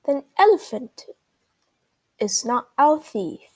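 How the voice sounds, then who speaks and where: A woman's voice: sits high, sing-song, takes it slowly, darkish tone, husky, exaggerated voice. A woman voicing a confident, inquisitive male duck detective in a children's storybook. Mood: deducing, confident. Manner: inquisitive, explanatory, exclaiming.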